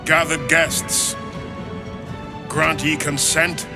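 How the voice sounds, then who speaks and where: Slow, solemn ceremonial official. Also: male voice, a little high, flat delivery. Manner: formal, stately proclamation. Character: solemn male official administering a ceremonial oath. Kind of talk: archaic ceremonial game dialogue. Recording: music behind the voice.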